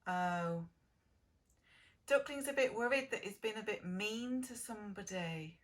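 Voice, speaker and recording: A woman teacher telling a story to preschool children in a sing-song, exaggerated way, gently asking them questions. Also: medium pitch, measured pace. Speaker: preschool early years teacher reading a story.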